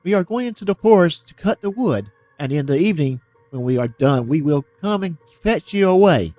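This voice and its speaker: A man's voice: fairly high pitch, expressive, fairly quick, darkish tone, no room sound, close to an everyday voice. Cold, scolding stepmother, voiced by a man narrator for a storybook; dull sound with faint music beneath. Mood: curt.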